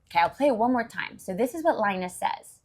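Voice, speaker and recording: A woman's voice: medium pitch, lively intonation, fast, a little mellow. A woman reading a story aloud to young children, expressively, with simple explanations along the way. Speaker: children's story reader and host.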